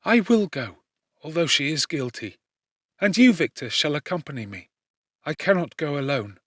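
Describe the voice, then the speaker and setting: Man playing a high-voiced, distressed young gentlewoman. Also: lively intonation, normal speed, slightly rough, dry recording, clean studio sound. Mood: resolved. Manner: imploring, emotional, earnest. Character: tender, distressed young gentlewoman, loyal and fervent. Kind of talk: dramatic audiobook character reading.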